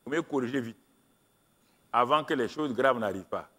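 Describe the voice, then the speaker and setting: A man preaching a sermon, stressing key phrases and repeating them slowly while an interpreter follows him. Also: mid-range voice, fairly bright tone, husky. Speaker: pastor. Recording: long hall reverb.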